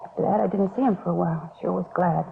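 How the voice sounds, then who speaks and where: An American actress playing a worried beauty shop owner in a 1950s radio drama, confessing and pleading anxiously. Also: female voice, fairly low pitch, steady tone, racing, creaky. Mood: pleading, distressed. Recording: scratchy, phone-like 1950s radio recording, noisy.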